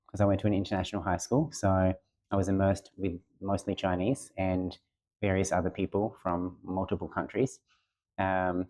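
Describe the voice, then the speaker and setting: Very deep-voiced man, relaxed. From Australia. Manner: relaxed, many ums and uhs, plain-spoken. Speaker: teacher and guest. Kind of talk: casual interview answers. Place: school room.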